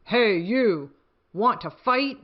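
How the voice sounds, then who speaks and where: A woman's voice: fairly high pitch, lively intonation, slow, muffled recording, pitched above the performer's own voice. Storybook ladybug woman performing: bad-tempered, challenging, bossy, spoiling for a fight. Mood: grouchy, challenging. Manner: brash, challenging. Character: grouchy, bossy male ladybug itching to fight.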